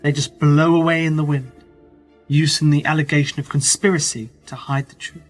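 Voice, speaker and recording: A sceptical, guarded writer played by a male actor in a radio drama. His voice is somewhat high for a man, with a dry, challenging way of putting questions. Faint music plays behind him. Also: level tone, normal speed, lightly acted. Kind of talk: radio play dialogue.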